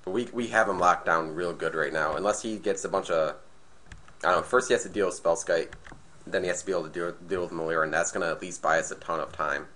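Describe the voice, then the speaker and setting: A man with a slightly raspy, nasal voice from being sick, casually commentating a card game match and thinking aloud as he plays. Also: low-pitched, steady tone, fast, sharp tone. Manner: thinking aloud, hesitant restarts. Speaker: gameplay commentator.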